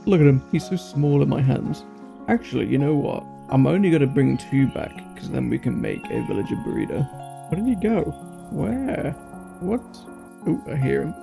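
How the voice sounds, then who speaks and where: Man with a heavily creaky, gravelly voice. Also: on the high side, sing-song, moderate pace. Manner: chatty, thinking aloud in short bursts. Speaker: gaming video host. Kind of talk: casual gameplay commentary. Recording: music playing behind the voice. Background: background music.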